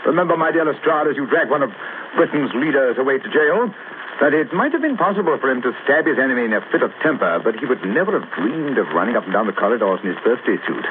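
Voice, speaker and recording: A man acting a brilliant, self-assured British detective in a vintage radio mystery. He is precise and faintly condescending, with a very lively, swooping delivery. The sound is noisy and narrow. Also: mid-range voice, fast, natural voice. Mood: needling. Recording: noisy, tinny old radio recording.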